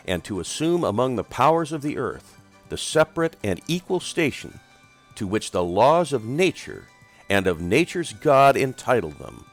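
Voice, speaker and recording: A man giving a solemn, emphatic oratorical reading of a formal historical document, in measured phrases. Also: on the low side. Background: music.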